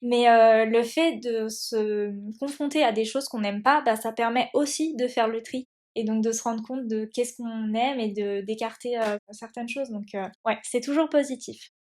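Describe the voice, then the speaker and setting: A woman's voice: a little high, normal speed, hardly any pauses, darkish tone. A young woman hosting a podcast, chatting casually with fillers and frequent laughs. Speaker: podcast host. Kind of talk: casual conversational interview.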